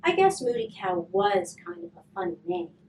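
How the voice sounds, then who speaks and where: A woman reading a children's storybook aloud with expressive, emphatic narration and dramatic pauses. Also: medium pitch. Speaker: volunteer storybook reader. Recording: roomy, distant mic, faint noise.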